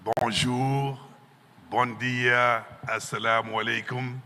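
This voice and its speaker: A man from Senegal hosts a conference session in a formal, courteous way. His very bright voice rings out over a stage microphone, and the big hall adds a long reverb. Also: medium pitch, little pitch movement, slow. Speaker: session moderator.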